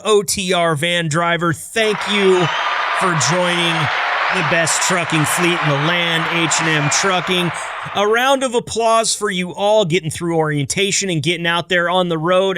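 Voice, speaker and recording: Bright-voiced American man hosting a podcast. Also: sits high. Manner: upbeat, playful, jokey patter. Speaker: podcast host. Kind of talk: casual, chatty scripted-loose podcast intro.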